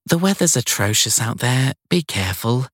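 A man voicing a caring, insistent wife with a gently chiding, concerned manner in an audiobook. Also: mid-range voice, very animated, moderate pace, husky, no echo, clean studio sound, pitched above the performer's own voice, heavily acted. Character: caring, insistent wife on a late-night call. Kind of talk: audiobook character dialogue.

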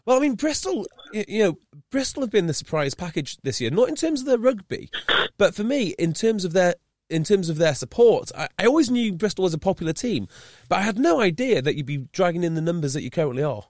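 A young man from North Wales hosting an interview, chatty and quick to stumble over his words, his pitch jumping up when he gets going. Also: dry recording, clean studio sound. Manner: chatty, stumbles and restarts, lively rises in pitch. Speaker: podcast host. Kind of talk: casual interview chat.

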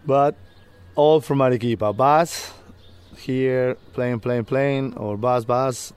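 A man from Peru with a Peruvian Spanish accent, a Spanish speaker giving loose, halting casual interview answers. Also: mid-range voice, steady tone, a little mellow, clean tone. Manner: loose, halting non-native English with laughs. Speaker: whitewater guide and interview guest. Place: rooftop outdoors in an old city. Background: faint outdoor street and bird sounds.

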